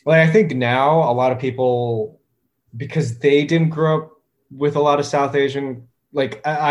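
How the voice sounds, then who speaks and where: A man in his thirties, a guest talking casually in an interview, with a relaxed, hesitant way of talking full of fillers and restarts. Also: medium pitch. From United States. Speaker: guest, music producer.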